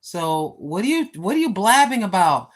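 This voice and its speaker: A woman's voice: mid-range voice, sing-song, normal speed. Chatty grandmother rambling on a live stream. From United States. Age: 60s. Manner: rambling, chatty, addresses viewers directly with many fillers. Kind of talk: casual live-stream chat. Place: home, seated. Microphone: phone.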